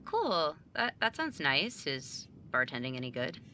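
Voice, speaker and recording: A woman playing a driven, overachieving young student and younger sibling in an audio drama, brisk, businesslike and easily exasperated. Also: low voice, wildly swooping pitch, bright, forceful tone, a little hiss or hum, exaggerated voice. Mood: awkward, guarded.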